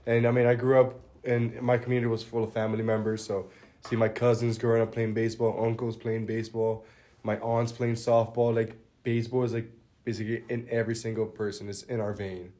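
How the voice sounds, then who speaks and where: A young man from the Dominican Republic, a college baseball pitcher, answering interview questions in a relaxed, plainspoken way and letting his phrases trail off quietly. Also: a little fast. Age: young adult.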